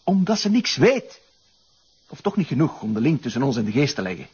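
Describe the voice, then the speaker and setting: An actor with a Flemish accent playing a shady, needling accomplice in a radio crime drama. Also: male voice, medium pitch, sing-song, a little fast, darkish tone, breathy edge, highs cut off. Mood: tense, assured.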